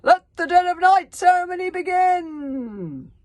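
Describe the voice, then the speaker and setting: A woman voicing a crazed old male chief who bellows slow, drawn-out proclamations. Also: very high-pitched, animated, a little mellow, no echo, voice pushed up. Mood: bellowing.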